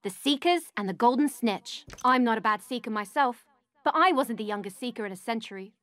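A woman's voice: a little high, animated, a little fast, dry recording. A woman playing a spirited young witch in a scripted video game tutorial. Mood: upbeat, chatty. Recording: loud music over the voice. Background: loud game music.

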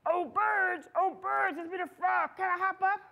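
Woman playing a shy young frog, high-pitched. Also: steady tone, moderate pace, vocal fry, lo-fi sound. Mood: shy, hopeful. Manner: hesitant, earnest. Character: shy, frustrated young male frog dreaming of singing. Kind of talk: animated folktale storytelling.

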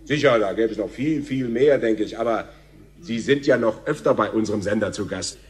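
A man playing a smooth, self-important TV talk show host in a German radio play, effusive and mannered, hesitating with ers. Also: fairly low pitch, brisk. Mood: gushing, showy.

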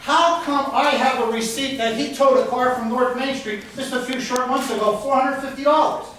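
A middle-aged man angrily addressing a city council at a public meeting, loud, frustrated and accusatory, hurling insults. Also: very high-pitched, moderate pace. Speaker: citizen speaking at a public meeting. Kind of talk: angry public comment speech to a city council. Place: large council chamber indoors. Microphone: distant handheld camera in the audience. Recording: echoey room, distant camera mic, faint noise.

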